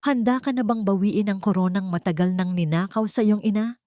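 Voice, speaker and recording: A woman voicing a steady, gentle male ally who speaks calmly and softly in an audio drama. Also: mid-range voice, brisk, dry recording, clean studio sound, close to an everyday voice. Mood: calm earnest. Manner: calm, soft-spoken.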